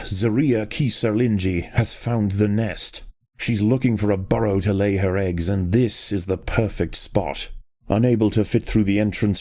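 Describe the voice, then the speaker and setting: A man narrating a strategy game in the style of a nature documentary, with a measured, grave and dramatic storytelling delivery. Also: sits low, darkish tone.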